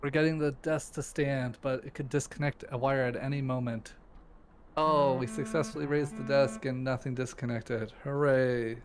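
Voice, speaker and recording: A man's voice: medium pitch, fairly even intonation, normal speed, faint music behind. A man who streams, chatting casually and joking around with a friend, swearing freely, from his streaming room at home. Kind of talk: casual live-stream chat.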